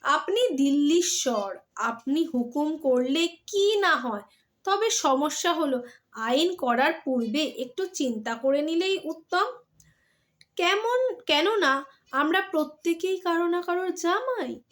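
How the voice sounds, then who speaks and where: A woman voicing a clever male courtier in a storybook tale, pleading deferentially and deliberately with his emperor. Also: high-pitched, animated, fairly bright tone, full character voice. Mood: calmly persuasive. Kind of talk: dramatic storybook character reading.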